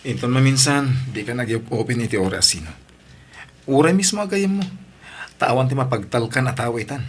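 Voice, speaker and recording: A man's voice: medium pitch, animated, moderate pace, slight background noise, natural voice. A Filipino man playing a demanding, wounded lover in an audio drama, insistent and emotional. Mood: urging.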